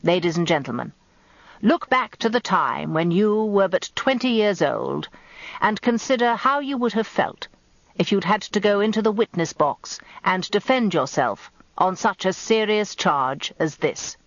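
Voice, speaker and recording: Woman plays old defence barrister, male, theatrical and wily. Slow, swelling oratory, wide melodic range, slightly dull radio sound. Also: medium pitch, expressive, dry recording. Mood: grave, pleading. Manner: grandiloquent, slow courtroom oratory.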